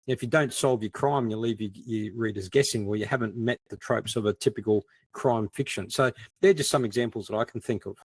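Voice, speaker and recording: A man's voice: on the low side, fairly quick, few pauses, husky. A man in a casual panel discussion, explaining things and thinking aloud with plenty of "you know". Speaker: guest, crime fiction writer.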